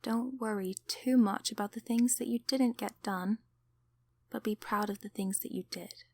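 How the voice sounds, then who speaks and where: Woman acting a gentle female demon, ASMR roleplay, encouraging, soft and whispery, gravelly fry, dry close sound. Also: mid-range voice, steady tone, no room sound. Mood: tender, encouraging. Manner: soft, unhurried, soothing, often whispering. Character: kindly female sleep paralysis demon, gently encouraging and affectionate.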